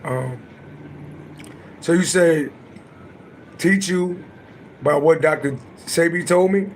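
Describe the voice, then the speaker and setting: A middle-aged man with a naturally raspy voice, chatting loosely and profanely on a livestream and answering viewers' comments. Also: slow pace, slight background noise, rough recording. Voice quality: deep voice. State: voice a little worn from singing. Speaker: livestream host and astrology reader. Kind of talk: casual livestream chat.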